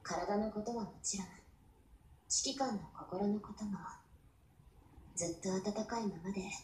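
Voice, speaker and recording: Woman acting a gentle young anime warship woman, echoey. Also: medium pitch, moderate pace, close to an everyday voice. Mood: tender, concerned. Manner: soft, soothing, caring, often breathy. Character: gentle, devoted young warship woman comforting her commander. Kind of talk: Japanese anime game voice lines. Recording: echoey playback off a screen, faint noise.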